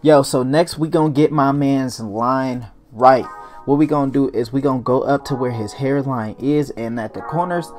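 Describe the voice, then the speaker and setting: A man's voice: mid-range voice, normal speed. A young man hosting his own animation channel, talking casually in slang as he walks through a drawing tutorial. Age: young adult. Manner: hyped barber persona at the start, then relaxed mumbling while working. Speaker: cartoon animator and channel host. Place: home studio at desk. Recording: music playing under the voice.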